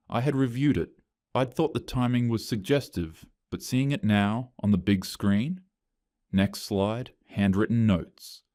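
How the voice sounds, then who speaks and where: A man giving a scripted first-person story narration in a steady, flat reading. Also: on the low side, dry recording, clean studio sound.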